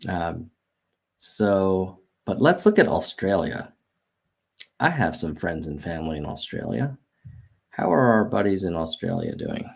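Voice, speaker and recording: A man's voice: deep voice, huge pitch swings, a little slow, vocal fry, long pauses, slightly rough. An American man hosting a casual livestream, thinking aloud over charts and reading viewer chat, with frequent ums and self-corrections. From United States. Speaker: livestream host. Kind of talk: casual data walkthrough on livestream.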